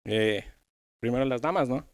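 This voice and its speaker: A man's voice: mid-range voice, normal speed. A man hosting a church youth podcast in a conversational style. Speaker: podcast host.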